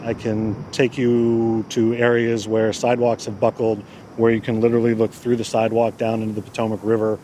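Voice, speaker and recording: A man's voice: lowish voice, completely flat delivery, fairly quick, mellow, slight background noise. A male park official answering an interviewer outdoors, hesitant and evasive, with many fillers. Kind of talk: field interview. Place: outdoors in a flooded park, traffic nearby.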